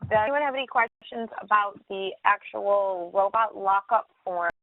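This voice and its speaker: A woman's voice: mid-range voice, moderate pace, a little hiss or hum. A woman hosting a casual instructional group call, explaining things and handing over to others. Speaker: conference call host. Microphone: phone call. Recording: narrow tinny phone conference line.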